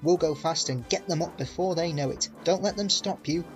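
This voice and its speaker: A man doing a calm engine driver. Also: fairly high pitch, brisk, soft background music, voice pushed up, natural voice. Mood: matter-of-fact. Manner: matter-of-fact.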